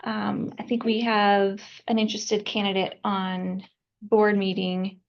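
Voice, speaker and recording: A woman chairing a board meeting on a video call, speaking haltingly with many pauses. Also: mid-range voice, little pitch movement, creaky. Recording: dull video-call audio.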